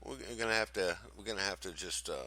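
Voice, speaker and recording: A man's voice: sits low, quick pace, pushed and bright, a little hiss or hum. A man in his fifties who hosts a radio show, chatting in an enthusiastic, rambling way and saying "man" often. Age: 50s. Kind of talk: casual chat.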